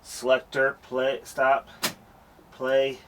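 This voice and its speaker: A man showing off an old tape machine in a casual walkthrough, speaking in an unhurried way and thinking aloud as he goes. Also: mid-range voice, completely flat delivery, a little hiss or hum. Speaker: hobbyist showing a tape recorder.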